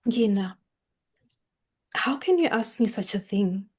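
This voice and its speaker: A woman's voice: medium pitch, expressive, takes it slowly, husky. An actress playing an anxious, stern mother from a Kenyan village in a staged drama reading, asking pointed questions. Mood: wistful, anxious. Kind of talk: staged script read of a drama.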